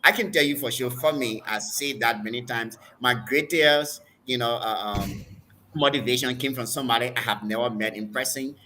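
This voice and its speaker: Bright-voiced Liberian man hosting. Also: mid-range voice, moderate pace, a little hiss or hum. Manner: conversational, chatty, prompting. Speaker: host, preacher and neurosurgeon. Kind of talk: interview hosting.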